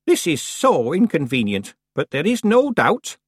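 Guy doing a super old witch lady, high voice, slow, deadpan and dry. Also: no room sound, full character voice. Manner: crisp, dry, matter-of-fact. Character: very old stern calm witch woman.

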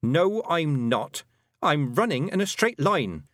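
A man's voice: a little high, very animated, slow, fairly bright tone, no room sound, pitched above the performer's own voice, exaggerated voice. Guy doing a stubborn little girl in a story, defiant, no fuss, slightly raised voice, dry sound. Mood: insistent. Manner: plain-spoken, defiant, determined. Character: stubborn, quick-witted nine-year-old girl.

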